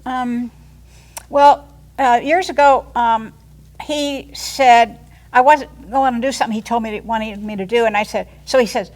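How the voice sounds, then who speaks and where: A woman in her eighties, a line dance instructor, chatting eagerly and excitedly about her family in an interview. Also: on the high side, unhurried. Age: 80s. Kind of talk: casual interview chat.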